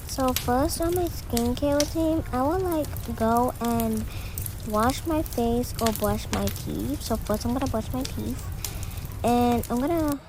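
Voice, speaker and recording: Girly high voice doing casual game voiceover, kinda halting, lots of noise behind it. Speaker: roleplay video narrator. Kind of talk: casual voiceover narrating a game roleplay routine. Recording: clearly noisy home recording.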